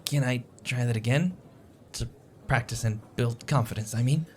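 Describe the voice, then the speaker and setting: A man's voice: medium pitch, moderate pace, darkish tone, breathy edge, close to an everyday voice. A man acting a shy, anxious, sweet young stranger in an audio roleplay, rambling with hesitant stammers and self-deprecating apologies. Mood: flustered, awkward. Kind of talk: audio roleplay, intimate one-sided conversation. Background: coffee shop ambience.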